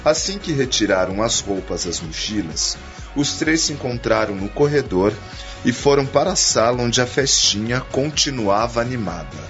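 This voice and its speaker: A man's voice: medium pitch, expressive, normal speed, a bit bright, close to an everyday voice. A man narrating a scripted radio drama. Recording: dull radio play with background music.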